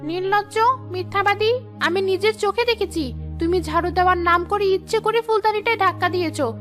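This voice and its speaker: A woman's voice: very high voice, steady tone, quick pace, a bit bright, soft background music. A woman playing a vain, spiteful young sister-in-law in a melodramatic cartoon, haughty and taunting. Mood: shrill accusing. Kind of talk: melodramatic cartoon story dialogue.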